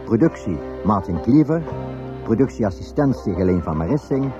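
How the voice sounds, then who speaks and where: A man's voice: on the low side, very animated, normal speed. A man reading scripted narration as the announcer of a radio drama. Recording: dull radio recording over music.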